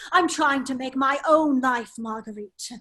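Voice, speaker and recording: A woman playing a foppish, breezy gentleman husband in an amateur radio play read, with an airy, drawling, exclamatory manner. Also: high-pitched, unhurried. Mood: evasive, breezy.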